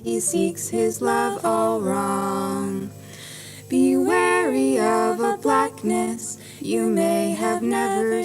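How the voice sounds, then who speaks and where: A woman sings as village children in a puppet play, delivering an eerie farewell lullaby. The voice is high and drawn out very slowly, with loud music alongside it. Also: exaggerated voice. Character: village children singing an ominous farewell song. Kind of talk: slow lullaby-like singing in a stage play.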